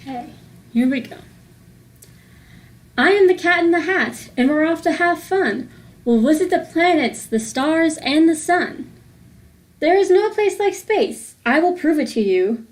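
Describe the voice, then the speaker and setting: A woman with a high-pitched voice reads a children's picture book aloud. She takes her time, with a slow, sing-song delivery that sets out each rhyming phrase deliberately. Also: expressive. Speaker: host of a children's read-aloud series.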